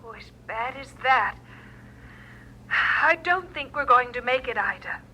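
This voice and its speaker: A very high, intensely bright voice comes down a telephone: a devout, determined woman fundraiser. She is emphatic and fretful but resolute, in radio drama style. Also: expressive, measured pace, heavily acted, poor audio. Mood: worried, insistent.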